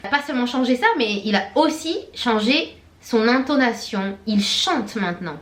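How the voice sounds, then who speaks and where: A young woman from Réunion, speaking, chats in a laughing, lively way with quick asides while she cooks in her home kitchen for a vlog. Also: on the high side. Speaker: vlogger. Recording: echoey room.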